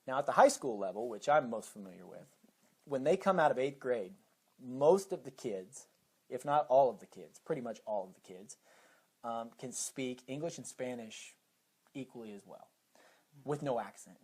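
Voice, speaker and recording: Male, American, educator as interview guest, mid-pitched voice, methodical explainer. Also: lively intonation, moderate pace, a little mellow, slightly rough. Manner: explains step by step with examples. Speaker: high school teacher and program coordinator, interview guest.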